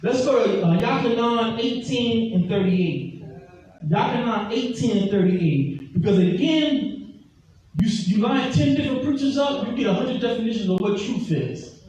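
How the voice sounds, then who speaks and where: High-voiced preacher in an echoey hall. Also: male voice, animated, a little slow, a little mellow. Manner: emphatic, repeats scripture references, call-and-response. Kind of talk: teaching sermon. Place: large echoey hall, heard from far off. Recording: echoey hall, distant mic, faint noise.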